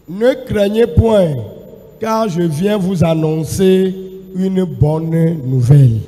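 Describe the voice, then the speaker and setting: A man with a high but mellow voice preaches at an unhurried pace, letting his phrases swell into shouts. He speaks through a handheld mic, and a long reverb hangs behind him in the large church hall. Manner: measured preaching that builds into shouts. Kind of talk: sermon delivered in two languages, line by line. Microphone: handheld microphone.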